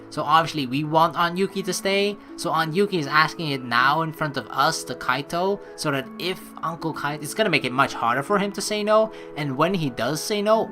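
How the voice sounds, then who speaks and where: A chatty, sweary woman doing casual, expressive let's play commentary and reading narration aloud. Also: deep voice. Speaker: let's player.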